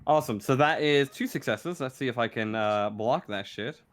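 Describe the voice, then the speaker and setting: A man acting as game master, narrating a tabletop game casually with halting, improvised phrasing. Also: medium pitch, noisy background.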